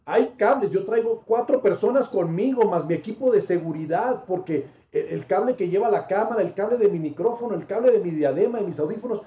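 A man working as a sports reporter tells stories with animated, expansive energy. His voice sits high but has a mellow, soft tone. The sound is dull and echoes as if in a roomy space. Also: fairly quick, hardly any pauses. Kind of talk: interview answers, anecdote telling.

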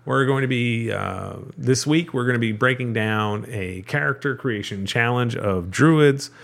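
A man's voice: medium pitch, unhurried, vocal fry, fairly bright tone. A man hosting a casual podcast about tabletop role-playing games, joking and laughing with his co-hosts. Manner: jokey, laughing, upbeat sign-off patter. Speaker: podcast host.

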